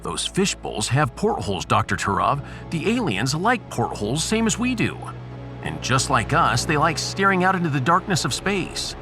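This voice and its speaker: A man playing a sarcastic, excitable, unheroic starship captain in a science fiction audio drama. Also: a little high, normal speed, soft background music, heavily acted. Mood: scheming, insistent. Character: wry, cowardly but bantering male starship captain. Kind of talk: sci-fi audiodrama acting.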